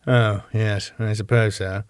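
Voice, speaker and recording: A man's voice: on the low side, level tone, a little slow, clean tone, dry recording, studio quality, lightly acted. A man doing a sarcastic club owner. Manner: strained, pompous, sarcastic. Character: sarcastic middle-aged male club owner.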